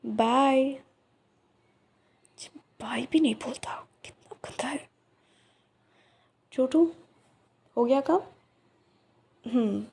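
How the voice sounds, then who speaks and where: A woman speaks slowly and softly to an unseen listener, teasing him gently as a young flower shop owner. Her voice sits a little high but sounds mellow and dark, with a gravelly fry under it. Now and then she sinks into breathy whispers. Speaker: voice actress in a listener roleplay. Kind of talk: ASMR roleplay, one side of a conversation.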